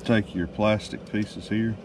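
Deep-voiced man explaining outdoors. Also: measured pace. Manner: relaxed folksy explaining with many fillers. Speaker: DIY video host. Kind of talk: casual how-to demonstration. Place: outdoors in a driveway. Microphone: camera mic. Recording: distant outdoor camera mic with noise.

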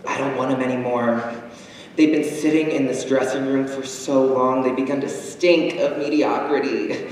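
A young man performing a theatrical monologue as a proud, wounded, defiant drag queen, with deliberate, cutting delivery and dramatic pauses. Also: medium pitch, fairly even intonation, natural voice. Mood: scornful, proud. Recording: distant, noisy, very echoey auditorium recording.

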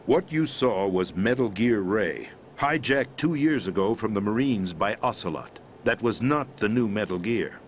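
A man voicing a grave, low-voiced president. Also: animated, slight background noise, natural voice. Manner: measured, grave, explanatory. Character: calm, grave middle-aged man, president briefing via codec. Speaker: president explaining a conspiracy. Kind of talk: video game cutscene voice acting.

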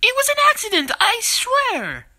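Performer doing a defensive cartoon plumber hero, wildly swooping delivery, bright tone, stammering, comedic game parody. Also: mid-range voice, wildly swooping pitch, a little slow, full character voice. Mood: pleading.

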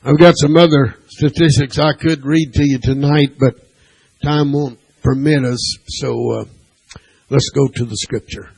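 A man preaching to his congregation in a church sanctuary, speaking slowly and deliberately with a gravelly voice that turns husky. He punctuates his points with "amen" and puts questions to the listeners. He also reads scripture aloud in old-fashioned wording. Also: medium pitch, steady tone, a little mellow, low-quality recording. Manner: preaching with frequent "amen" and call-and-response questions. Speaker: pastor. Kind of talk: sermon.

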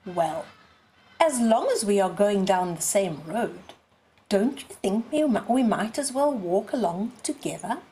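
A woman voicing a sly, coaxing male fox in a children's storybook, in an unhurried, persuasive way. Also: mid-range voice, animated, mellow, a little hiss or hum, natural voice.

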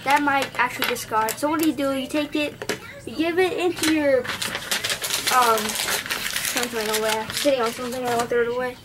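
A woman's voice: high-pitched, normal speed. A young woman with an Indian accent, casually reviewing a gadget at her home desk while thinking aloud and correcting herself. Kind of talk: casual unboxing vlog. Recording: noisy home camera recording.

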